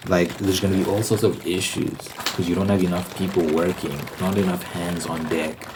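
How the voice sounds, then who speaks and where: A man with a low voice talks through his opinions in an unscripted ASMR ramble. He explains step by step and circles back to repeat points for emphasis. There is clear noise behind him. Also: little pitch movement, normal speed. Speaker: host of a rambling ASMR channel.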